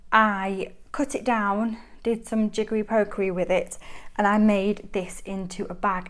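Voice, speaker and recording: Young mancunian woman chatting away about her planner, bubbly, laughs a lot, middle pitch. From Manchester, England. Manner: chatty, enthusiastic, laughs often. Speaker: planner vlogger. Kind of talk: casual show-and-tell chat.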